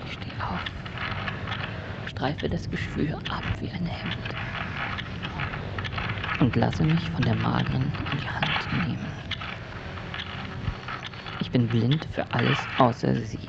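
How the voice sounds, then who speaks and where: A man playing a haunted, disoriented narrator of a surreal radio drama, gravelly and speaking in deliberate, broken phrases. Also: mid-range voice. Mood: morbid, intense. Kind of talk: surreal first-person radio drama monologue. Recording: dull lo-fi recording, loud background noise.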